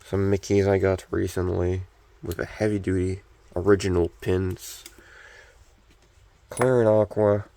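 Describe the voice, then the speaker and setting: Low, scratchy-voiced young man chatting. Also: level tone, unhurried, warm tone. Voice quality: scratchy, gravelly. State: recovering from illness, scratchy voice. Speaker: hobbyist collector showing restored radio. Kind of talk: casual show-and-tell chat.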